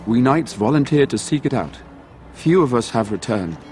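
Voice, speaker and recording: A man's voice: mid-range voice, expressive, normal speed, a little mellow, soft background music, natural voice. A male actor playing a solemn, courteous knight who defends a village, speaking formally in a fantasy game. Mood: grave. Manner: formal, archaic phrasing. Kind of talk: fantasy game dialogue acting.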